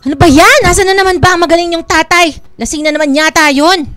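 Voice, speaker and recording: A woman's voice: very high-pitched, fast talker, a bit bright, faint music behind. An actress playing a bitter, middle-aged wife and mother in a radio drama, nagging and sarcastic. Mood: scornful.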